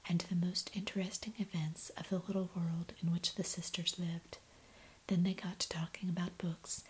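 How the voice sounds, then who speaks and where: A woman reading a classic novel aloud in a soft, unvoiced ASMR whisper. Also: lowish voice, rough recording. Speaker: audiobook reader.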